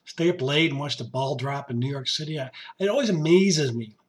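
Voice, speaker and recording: A man hosting his podcast in casual monologue, his voice hoarse and raspy, rambling and joking as he talks to his musician. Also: a little high, fairly quick. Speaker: podcast host. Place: basement home studio.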